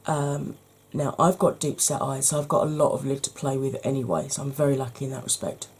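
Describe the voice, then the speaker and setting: A woman, hoarse from hay fever, chatting through a makeup tutorial step by step and speaking straight to her viewers, with frequent ums. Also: a bass voice, fairly quick, a little mellow.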